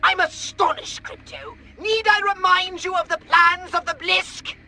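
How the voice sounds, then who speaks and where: A man voicing a haughty, melodramatic alien mastermind with a gravelly voice in a video game cutscene. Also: extremely high pitch, steady tone, a little slow, bright, forceful tone, exaggerated voice. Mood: indignant. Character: shrill, imperious male alien mastermind, easily alarmed.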